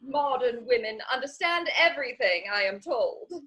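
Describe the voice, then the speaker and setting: Gushing Victorian society lady for stage comedy; chatty, very bright, animated. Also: a little high, moderate pace. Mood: gossipy, airy. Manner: gushing, rambling, theatrical. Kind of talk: stage comedy dramatic reading.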